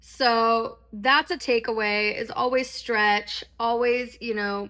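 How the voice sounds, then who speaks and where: A woman's voice: mid-range voice, fairly bright tone. A woman in her thirties, congested with a sore throat, chatting casually and candidly as in a personal vlog. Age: 30s. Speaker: dance and performance vlogger.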